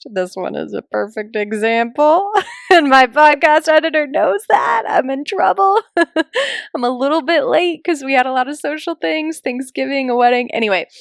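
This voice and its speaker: A woman's voice: a little high, a bit bright, no room sound, clean studio sound. A woman hosting a solo podcast in a casual, chatty and emphatic way, speaking informally as a business coach.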